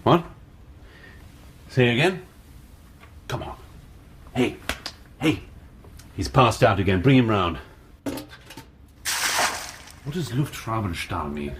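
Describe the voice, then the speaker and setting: British intelligence major, ruthless, clipped, impatient, lively swooping intonation, wartime radio play. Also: male voice, lowish voice, takes it slowly, long pauses, background noise. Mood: menacing. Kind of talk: radio drama acting.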